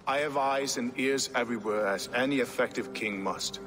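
A man acts out a Viking king holding court in a video game. He speaks with stately, measured authority at a middling pitch. His words ring in a long hall reverb with clear music behind. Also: fairly bright tone, lightly acted. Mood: calm, magnanimous. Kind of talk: dramatic video game acting.

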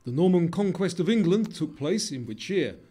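Higher-pitched man, lively quiz narrator. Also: normal speed, husky, soft background music.

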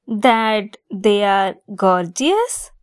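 A woman's voice: mid-range voice, very animated, drawn-out words, clear, resonant tone, dry recording, clean studio sound. A woman acting a giggly, teasing young woman fond of a longtime friend, in a romantic ASMR roleplay. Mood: amused, flustered.

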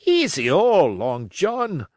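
A man reading a storybook, voicing an impatient, mutinous pirate coxswain who grumbles in rough sailor speech. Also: fairly high pitch, huge pitch swings, slow pace, a little mellow, dry recording, clean studio sound, heavily acted. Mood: protesting.

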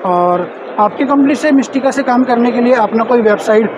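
Trade-show interviewer, man, very high voice, crowd noise behind. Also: moderate pace, low-quality recording. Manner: chatty, polite, sums up points with "sir". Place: busy indoor exhibition hall.

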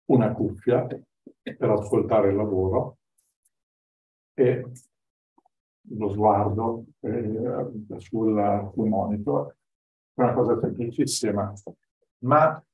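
An older man speaks as a lecturer, explaining things in hesitant, informal phrases. His voice is fairly low and very soft-edged. The microphone sits well away from him in the room. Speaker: guest lecturer. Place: indoor classroom with whiteboard. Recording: roomy, distant microphone.

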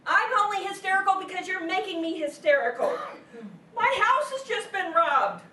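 A high, swooping voice carries from far off in an echoey room. It is a woman acting an exasperated, agitated wife in a stage comedy, hammering her phrases with frantic emphasis. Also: lively intonation, normal speed, fairly bright tone. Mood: hysterical, indignant. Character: frantic, exasperated wife whose home was burgled. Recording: echoey room, distant mic, background noise.

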